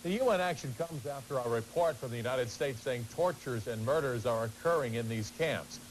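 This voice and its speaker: A male news anchor reading studio news in a steady, authoritative way. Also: medium pitch, slight background noise, rough recording.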